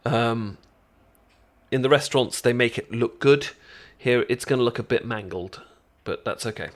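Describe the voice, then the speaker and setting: A man's voice: mid-range voice, normal speed, natural voice. A British man acting a shy, affectionate best friend in an ASMR romantic roleplay, hesitant, stammering and tender. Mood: gentle, instructing. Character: shy, affectionate boyfriend-to-be best friend teaching sushi making.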